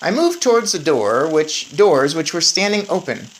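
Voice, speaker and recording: A woman's voice: low voice, very animated, normal speed. A woman reading a children's book aloud expressively, with informal asides to listeners. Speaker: read-aloud storyteller. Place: indoors in an attic.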